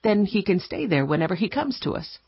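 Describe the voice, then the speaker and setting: A woman plays a devoted, determined woman of means who speaks earnestly, her voice pitched in a medium range. The audio is dry and somewhat dull, with music underneath. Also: big pitch swings, brisk, breathy edge, dry recording, heavily acted. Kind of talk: dramatized audio scripture.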